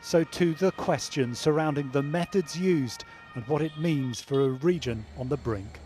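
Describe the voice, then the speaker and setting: A man's voice: a little high, moderate pace, dark tone, soft background music. A man reading a scripted news voice-over as a reporter.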